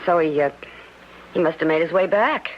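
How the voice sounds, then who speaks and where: An actress playing a sharp, scheming, domineering wife in a radio mystery drama. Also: female voice, sits low, moderate pace, close to an everyday voice. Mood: falsely sympathetic. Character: sharp, pushy, scheming wife covering up a secret. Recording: dull lo-fi radio recording with hiss.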